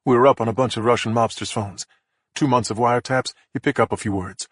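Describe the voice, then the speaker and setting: A man reading a calm female investigator. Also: medium pitch, fast talker, slightly rough, no room sound, clean studio sound, natural voice. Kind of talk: audiobook character voice.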